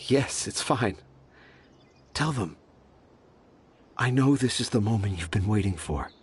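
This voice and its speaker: An actor playing a fanciful, stubborn storyteller father in a video game, theatrical and excitable. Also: fairly low pitch, measured pace, slightly rough, heavily acted. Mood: resigned.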